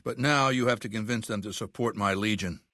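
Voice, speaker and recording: Casting: ruthless game warlord, lowish pitch, dry close sound, deliberate, condescending command. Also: male voice, bright, forceful tone, no room sound. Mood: calculating, commanding. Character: ruthless, imperious warlord dictator commanding a legion. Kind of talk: video game character voice acting.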